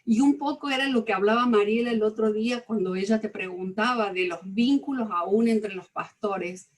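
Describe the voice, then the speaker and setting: Animated Argentine woman, slightly distant mic. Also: medium pitch, moderate pace. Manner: animated, acts out quoted dialogue. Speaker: pastor. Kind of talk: conversational testimony in a church class.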